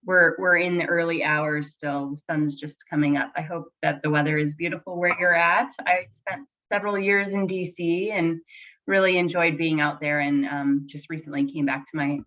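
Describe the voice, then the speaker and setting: A woman with a raspy, somewhat low voice presents in a warm, inviting, conversational way. The sound is dull and lo-fi, as over a weak online connection. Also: little pitch movement, moderate pace. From Colorado, United States. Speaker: guest presenter. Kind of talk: friendly presentation opening.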